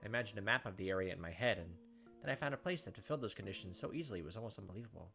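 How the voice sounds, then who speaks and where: A man's voice: fairly low pitch, animated, racing, creaky, sharp tone. A man hosting a playthrough, reading a visual novel aloud as emphatic dramatic narration with casual asides. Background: game music.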